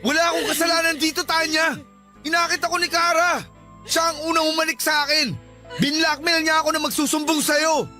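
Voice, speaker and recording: An actor playing a cowardly cheating husband in a radio melodrama, loud, agitated and quick to shift blame. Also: male voice, very high-pitched, flat delivery, over music. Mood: panicked, blaming.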